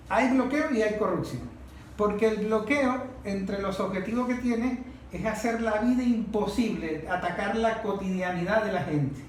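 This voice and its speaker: The speaker has a Venezuelan accent and leads a political discussion with emphatic, didactic delivery, repeating phrases to drive points home. The recording is heavily reverberant, with faint noise underneath. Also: medium pitch. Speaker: discussion leader. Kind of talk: impassioned political talk to a group. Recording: very echoey room, faint noise.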